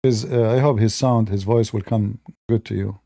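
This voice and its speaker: A man speaks as a with a mellow, relaxed tone. He delivers rambling, mocking political commentary straight to his viewers and often restarts his sentences. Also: lowish voice, moderate pace.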